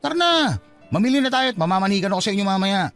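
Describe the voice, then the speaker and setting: High-pitched, teasing Filipino suitor. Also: male voice, very animated, very fast, fairly bright tone, full character voice. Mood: playful, triumphant. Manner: teasing, wheedling, playful. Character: cheeky, scheming young male suitor, teasing and insistent. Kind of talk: Filipino radio-style romance drama acting. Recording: music under the dialogue.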